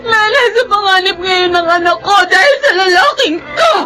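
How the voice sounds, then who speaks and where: High-pitched distraught mother. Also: expressive, moderate pace, music in the background. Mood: furious, crying. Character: distraught, accusing young mother, fiery and tearful. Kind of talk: Filipino radio drama acting.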